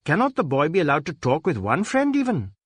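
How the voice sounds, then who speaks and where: An Indian wife and mother, played by a male narrator, calmly answering back with gentle reproof. The pitch is lifted well up and moves freely, in dry-recorded storybook dialogue. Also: fairly quick, slightly rough, no echo, exaggerated voice. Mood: protesting. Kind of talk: acted dialogue in a storybook reading.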